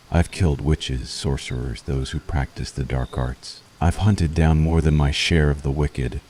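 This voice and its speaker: Witch hunter, played by a man: extremely low, mellow voice, conflicted and intense, deliberate delivery for an ASMR roleplay. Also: a little hiss or hum. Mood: wary, conflicted. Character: brooding, conflicted male witch hunter in love. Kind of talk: ASMR roleplay, scripted monologue to listener.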